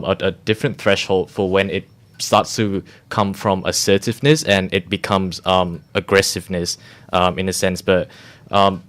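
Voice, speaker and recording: A man on a student radio panel, speaking hesitantly with many pauses and ums. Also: low voice. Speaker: student guest.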